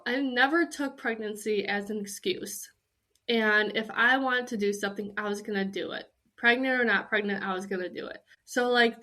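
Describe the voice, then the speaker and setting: A woman's voice: medium pitch, fairly bright tone. A young woman hosting a podcast, talking casually and candidly with a slightly nasally voice from a cold and lots of "like" fillers. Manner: chatty and candid, with many "like" fillers. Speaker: podcast host. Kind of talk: casual chat.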